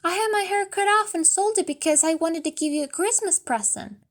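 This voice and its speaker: Young wife for a storybook, played by a female reader: high voice, eager, affectionate, emotionally pleading. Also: brisk. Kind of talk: storybook character reading.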